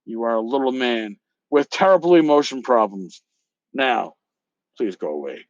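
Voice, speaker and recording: A man's voice: medium pitch, expressive, normal speed, dry recording, highs cut off, pitched below the performer's own voice, lightly acted. A man playing a defensive, irritable con man in a dramatic reading, curt, insulting and indignant. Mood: bitter, dismissive. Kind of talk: dramatic reading of an email exchange.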